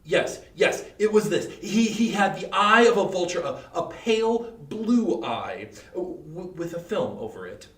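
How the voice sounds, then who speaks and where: In an echoey room, away from the mic, a man acts out an unhinged Victorian horror murderer. His slightly high voice swings widely as he stammers and presses nervously to prove his sanity. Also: sing-song, a bit bright, full character voice. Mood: haunted, obsessive. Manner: halting, stammering, emphatic. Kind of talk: dramatic reading of Victorian horror. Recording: echoey room, distant microphone.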